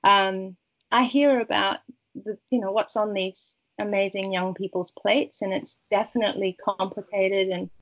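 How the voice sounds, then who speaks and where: A woman author from Peru, a Spanish native speaker, talking in a rambling, warm way with many restarts in a casual interview. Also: mid-range voice, fairly even intonation, measured pace, muffled recording. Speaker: author guest.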